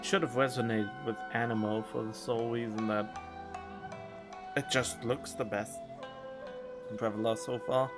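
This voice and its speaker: A man streams a video game and comments as he goes. His voice sits at an ordinary pitch, and his talk is casual and unscripted. Game music is heard behind him. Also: fairly even intonation, unhurried, long pauses.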